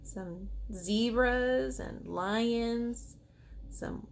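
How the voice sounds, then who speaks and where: A woman tells a children's story in a fairly high voice. She takes it very slowly, reads expressively and breaks off to put questions to the young listeners. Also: gravelly, slight background noise. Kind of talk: read-aloud picture book narration with asides to children.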